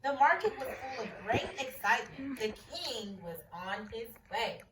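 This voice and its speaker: A woman teacher reads a picture book aloud with lively storybook expression, pausing to put questions to her class. Her voice sits a little high. She sounds set back from the mic in a reverberant room, with a faint hiss underneath. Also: recorded from a distance.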